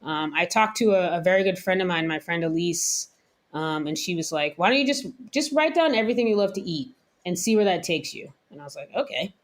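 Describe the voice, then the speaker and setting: An American woman chef, around her thirties, talking candidly and thoughtfully in a relaxed interview, laughing now and then. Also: on the low side, brisk. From United States. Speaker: chef, guest. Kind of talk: casual interview talk.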